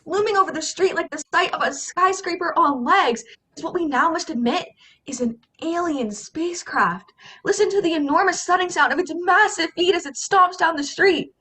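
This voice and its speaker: A woman's voice: very high voice, bright, forceful tone. A teenage woman playing a flustered, awestruck radio reporter in a melodramatic student play over a video call. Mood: awestruck, alarmed. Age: teens. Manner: melodramatic, halting when flustered. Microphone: computer microphone on video call.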